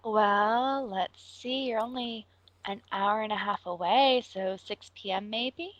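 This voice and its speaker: A woman making a prank phone call, gushing in a breathy, exaggeratedly flirtatious way. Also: mid-range voice, slow pace, a bit bright, no room sound. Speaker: prank caller.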